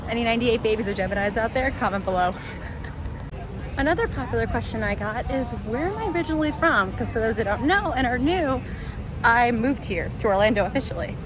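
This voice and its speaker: A woman's voice: fairly high pitch, animated, a little fast. A woman in her twenties from New York, chatting upbeat to viewers while walking through a theme park. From Queens, New York. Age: 20s. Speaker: vlogger. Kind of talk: casual vlog chat. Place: outdoors at a busy theme park. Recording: outdoor crowd noise and park music.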